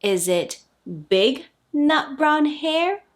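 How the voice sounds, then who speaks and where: A woman's voice: high voice, big pitch swings, drawn-out words, soft background music. A woman hosting a storytime for children, reading aloud expressively with sing-song emphasis.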